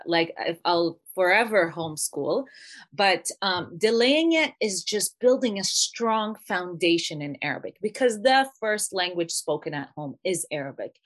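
Woman, 30s, earnest, chatty, mid-pitched, casual interview guest. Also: fairly bright tone. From Palestinian family, raised in Canada. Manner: earnest, chatty, sprinkles Arabic phrases. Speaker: guest, homeschooling mother.

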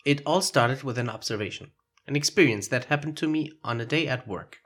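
A man's voice: mid-range voice, fairly quick, a bit bright, slightly rough. A man with a foreign accent hosting a solo podcast, explaining his ideas in a reflective way. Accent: foreign accent in English. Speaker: podcast host.

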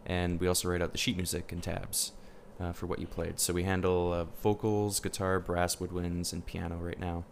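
A man's voice: deep voice, level tone, normal speed, creaky, hardly any pauses, slight background noise. A man chatting enthusiastically as host, nerdy and curious, with lots of ums. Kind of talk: casual chat.

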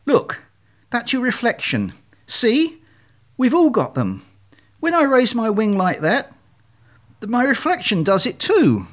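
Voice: medium pitch, wildly swooping pitch, a little slow, long pauses, pitched above the performer's own voice. A performer voicing a male swan leader in a storybook, explaining things emphatically with well-meaning but strained patience. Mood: patient explaining.

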